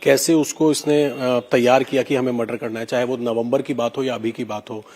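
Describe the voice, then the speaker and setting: Mid-pitched male reporter asking questions. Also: steady tone, brisk, a little mellow. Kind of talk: unscripted press questioning.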